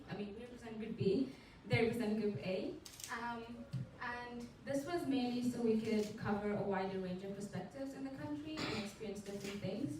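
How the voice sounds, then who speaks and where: A woman's voice: fairly high pitch, darkish tone. A teenage girl from India and Zambia giving a student presentation at a school showcase, chatty, with fillers and self-corrections. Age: teens. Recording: echoey room, mic far off, noisy.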